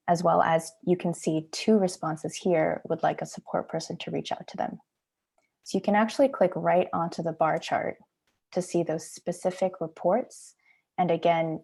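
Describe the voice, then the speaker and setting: A woman giving an informal walkthrough of software as a project manager. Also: on the low side, gravelly.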